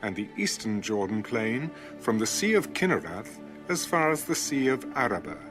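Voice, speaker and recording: Man narrating scripture over clear music. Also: lowish voice, very animated, moderate pace. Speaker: audio Bible narrator. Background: background music.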